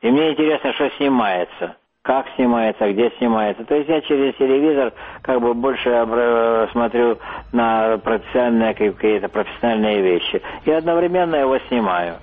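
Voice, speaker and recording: Older male photographer, hesitant interview talk, tinny phone-quality sound. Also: mid-range voice. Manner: halting, searching for words, repeating himself. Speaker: photographer interviewed about his exhibition. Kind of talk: interview answers. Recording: muffled phone-like line.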